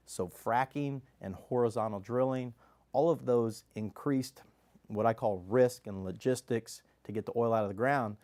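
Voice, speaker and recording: A man from Kansas with a blended Texas, Louisiana and Midwest accent, confidently pitching his oil company as a radio guest. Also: darkish tone. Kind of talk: sales pitch interview answers.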